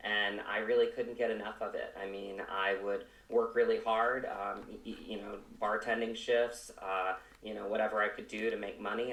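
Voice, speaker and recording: A man from Virginia, an actor, giving casual, halting interview answers peppered with "you know". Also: lowish voice, a bit bright, far from the mic. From Virginia, United States. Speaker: actor, podcast guest. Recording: roomy echo, away from mic.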